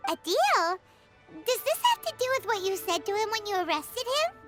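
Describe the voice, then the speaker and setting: A squeaky fairy sidekick. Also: female voice, big pitch swings, moderate pace, music in the background. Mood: curious. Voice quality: squeaky, childlike. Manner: inquisitive, talks about herself in third person. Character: tiny floating female fairy companion, curious and chatty.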